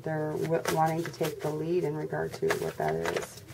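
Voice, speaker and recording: Low, gravelly congested woman reading tarot. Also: normal speed. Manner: monotone, low-energy delivery. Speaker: tarot reader. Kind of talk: casual tarot reading for viewers. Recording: noisy recording with birds chirping. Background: birds and background noise.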